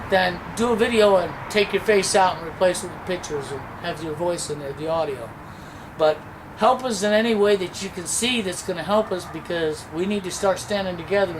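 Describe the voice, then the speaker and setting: A man's voice: sits high, noisy background. A man urging viewers to speak out, emphatic and exhorting, often asking "okay?". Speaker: movement organizer.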